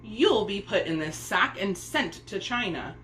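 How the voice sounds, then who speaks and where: A woman's voice: medium pitch, expressive, a little slow, fairly bright tone, roomy sound, a little hiss or hum. A woman voicing a cruel stepmother in a storybook, bossy and scornful in manner. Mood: threatening. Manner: commanding, scoffing, mock-sweet.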